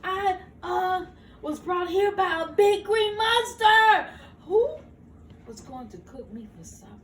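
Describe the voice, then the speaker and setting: A woman's voice: extremely high pitch, animated, takes it slowly, pitched above the performer's own voice, heavily acted. An older woman voicing a naive, wide-eyed young puppet boy in a storybook reading. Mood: nervous fibbing. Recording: roomy, noisy home recording, far from mic.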